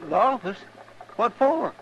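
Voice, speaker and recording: A high male voice as a gullible, folksy frontier townsman with a rambling rural Western American drawl. It sounds like an old radio western, muffled and faintly hissy. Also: big pitch swings, unhurried, heavily acted. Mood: puzzled, worried. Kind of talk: 1950s radio western acting. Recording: dull old radio recording, slight hiss.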